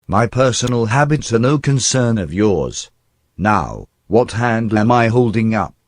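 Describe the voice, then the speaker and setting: A man as a testy store examiner, officious, brusque, low-pitched, nervous, radio play acting, very dry sound. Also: lively intonation, measured pace, no room sound.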